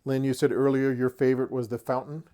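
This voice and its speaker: A man's voice: medium pitch, little pitch movement, quick pace, mellow. Folksy middle-aged man hosting casually. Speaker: host of a tabletop wargaming channel. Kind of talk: casual product showcase to camera.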